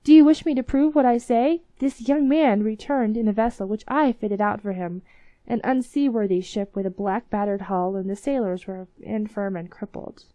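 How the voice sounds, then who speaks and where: A confident young prince and ship's captain, calm and sure of himself, as a woman reads him. The tone is mellow and the sound dry. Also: medium pitch, moderate pace, dry recording, close to an everyday voice. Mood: assured.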